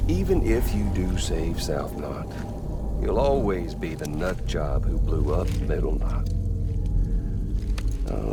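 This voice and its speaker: Sadistic game villain, slow gravelly drawl, taunting and super theatrical. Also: male voice, low-pitched, exaggerated voice. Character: sadistic, theatrical, mocking masked male terrorist villain. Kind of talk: dramatic video game cutscene acting.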